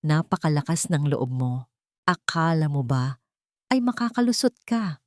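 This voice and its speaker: A cruel, wealthy woman boss from an audio story, acted out by a woman narrator. She speaks with cold contempt and gives orders as if nobody may refuse. The voice sits a little low and is closely, dryly recorded. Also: sing-song, unhurried, darkish tone, no room sound, clean studio sound. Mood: disgusted. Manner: contemptuous, commanding. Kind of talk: dramatic Tagalog audio story dialogue.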